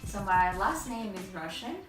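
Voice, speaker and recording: A woman's voice: mid-range voice, expressive, normal speed, music in the background. A woman from Ukraine, a guest chatting casually and thoughtfully about her work and life. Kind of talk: casual interview chat. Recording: echoey room, voice from far off.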